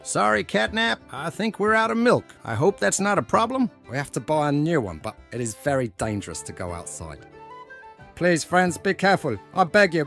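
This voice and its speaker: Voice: mid-range voice, a bit bright. A performer voicing a fretful, polite male cartoon dog in a comic dub, fussy and pleading, with music behind. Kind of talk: comic dub character acting.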